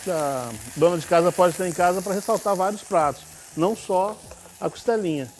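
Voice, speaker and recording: A man's voice: high voice, normal speed, a little hiss or hum. Brazilian man chef cooking on TV. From Minas Gerais, Brazil. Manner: relaxed, explaining step by step with small restarts. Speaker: guest chef. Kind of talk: cooking demonstration. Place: TV studio kitchen.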